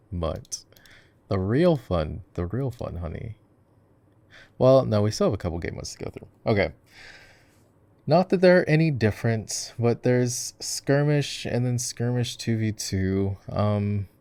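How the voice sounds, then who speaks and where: Guy acting as chill gamer boyfriend, deepish voice w lots of fry, rambly casual asmr roleplay, sweet to his partner. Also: darkish tone, heavily acted. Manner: rambling, musing aloud. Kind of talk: casual ASMR roleplay chat while gaming.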